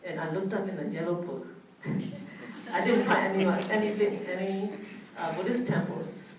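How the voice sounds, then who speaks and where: A middle-aged woman from Myanmar, a Buddhist nun, telling her story hesitantly with many pauses and restarts. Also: medium pitch, steady tone, a crowd in the background. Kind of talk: personal testimony talk. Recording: echoey room, far from mic, faint noise.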